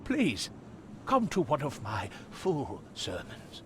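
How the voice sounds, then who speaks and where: Slow, solemn doomsday preacher. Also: male voice, mid-range voice, wildly swooping pitch, background noise. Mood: fervent, hopeful. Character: grave, fervent male doomsday preacher prophesying darkness. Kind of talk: video game cutscene acting.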